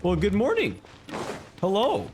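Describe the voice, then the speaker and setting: A man streaming a game live with casual, halting commentary, often repeating himself as he talks to his chat. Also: sits high, wildly swooping pitch, darkish tone. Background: music.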